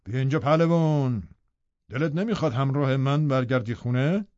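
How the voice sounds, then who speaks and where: A man's voice: medium pitch, darkish tone, no room sound, muffled recording, lightly acted. An actor playing a domineering, sneering villainous father in an audiobook, commanding and sardonic with barely contained anger. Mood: threatening, sly. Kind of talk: audiobook drama acting.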